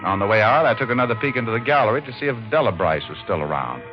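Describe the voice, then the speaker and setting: An actor playing a wisecracking, cynical private detective in an old-time radio noir drama, with a dry, sardonic manner. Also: male voice, lowish voice, animated, racing, natural voice. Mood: deadpan narration. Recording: muffled old radio recording with music.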